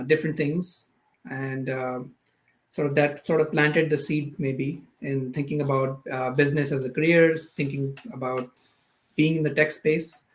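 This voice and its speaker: A man's voice: fairly high pitch, rough recording. A man in his thirties from Pakistan, with a Pakistani accent, answering as an interview guest in a casual, hesitant way and often circling back to rephrase. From Karachi, Pakistan. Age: 30s. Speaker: podcast guest, product manager.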